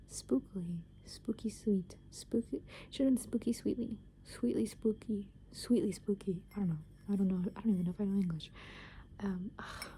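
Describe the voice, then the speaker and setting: Whispering young woman, very mellow. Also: medium pitch, a little hiss or hum. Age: young adult. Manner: soft unvoiced whisper, rambling with many fillers. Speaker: ASMR creator. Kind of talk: whispered ASMR soft rambling.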